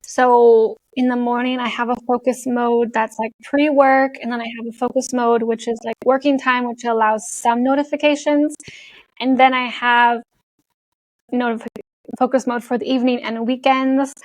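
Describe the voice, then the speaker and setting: A woman's voice: a little high, level tone, normal speed, poor audio. A young Canadian woman speaking casually as an interview guest, laughing often and using many fillers. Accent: Canadian English with an international European tinge. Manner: chatty, laughs often, many likes and you knows. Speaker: guest, content creator.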